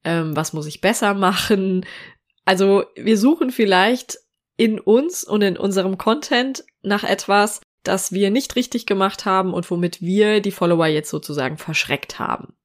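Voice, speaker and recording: A woman hosting her own podcast, talking to the listener in a relaxed, reassuring way while she has a cold and speaks a little through her nose. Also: medium pitch. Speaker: podcast host. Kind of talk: solo podcast talk on social media marketing.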